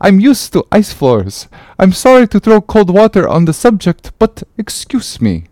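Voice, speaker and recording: A man's voice: high voice, wildly swooping pitch, measured pace, warm tone, no room sound, voice pushed up, exaggerated voice. A man voicing a dry, grumbling sailor who wryly declines to dance. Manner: wry, reluctant.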